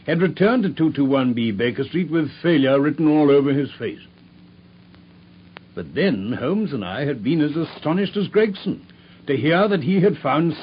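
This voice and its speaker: A man giving measured scripted narration for a radio drama. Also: medium pitch, slightly rough. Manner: measured storytelling. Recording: dull old radio broadcast with faint hiss.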